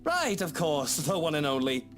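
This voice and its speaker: Haughty British husband, wildly swooping intonation. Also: male voice, on the high side, huge pitch swings, normal speed, fairly bright tone. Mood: sneering. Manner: condescending, sardonic, drawling. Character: haughty, sneering upper-class husband, dismissive and suspicious. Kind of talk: visual novel game voice acting. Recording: guitar music behind the voice.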